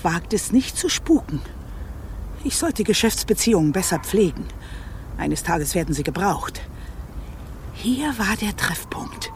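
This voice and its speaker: A woman's voice: mid-range voice, big pitch swings, measured pace, long pauses, slight background noise. A woman acting a haughty, scheming, irritable witch in a radio play, imperious and scornful. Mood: uneasy, summoning.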